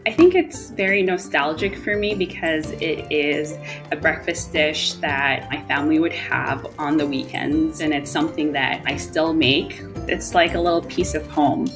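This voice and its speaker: Ethiopian-born woman, low voice, over music. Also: measured pace. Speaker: home cook. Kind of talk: recipe video narration. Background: soft background music.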